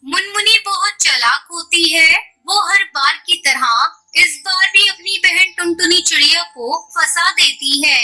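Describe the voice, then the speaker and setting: A woman's voice: very high-pitched, sharp tone, rough recording. A woman narrating a scripted children's cartoon story as the storyteller.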